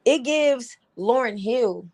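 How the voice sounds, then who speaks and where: A woman with a slightly raspy, somewhat high voice hosts a casual livestream chat. She talks bluntly and with animation, and she often laughs and breaks in with quick interjections. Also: lively intonation, darkish tone. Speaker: livestream host.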